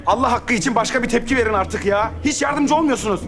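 An actor playing a fretful middle-aged uncle in a TV comedy drama, whiny and pleading. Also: male voice, very high-pitched, fast talker, vocal fry, a bit bright, full character voice. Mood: exasperated. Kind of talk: TV series comedy acting. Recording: music score under dialogue.

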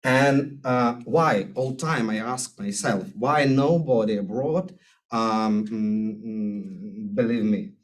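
Man, Ukrainian accent, non-native English, middle-aged, very slow, hesitant, lecture room podium. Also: on the low side. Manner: halting, searching for words with many fillers. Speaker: guest speaker. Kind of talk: speech at a podium. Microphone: podium microphone.

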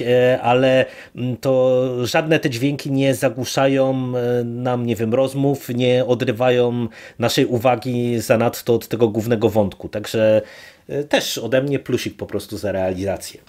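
A man co-hosting a podcast, casually discussing a review in a halting way as he searches for words. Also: medium pitch, fairly even intonation, a little mellow. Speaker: podcast co-host. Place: virtual studio, remote recording.

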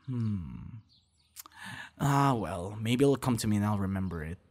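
A friendly young man, acted out by a male performer, chats one-on-one in a casual ASMR roleplay. His voice sits on the low side with a crackly, gravelly edge. He is a little shy and hesitant, with a soft teasing streak. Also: expressive, measured pace. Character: friendly, shy young male budding voice actor meeting stranger.

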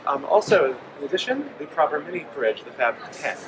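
A man's voice: fairly high pitch, big pitch swings, fairly quick. A man in his forties, a company representative explaining products with frequent ums in a trade show interview. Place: busy indoor convention hall. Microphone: handheld mic held by interviewer. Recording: noisy trade show floor.

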